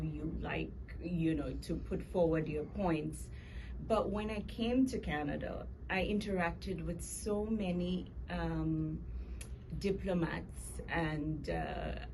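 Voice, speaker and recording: A woman from Jamaica with a Jamaican accent, telling her story as a guest in an interview in a measured, articulate way. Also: on the low side. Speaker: guest author and lawyer. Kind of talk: interview conversation. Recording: noticeable background noise.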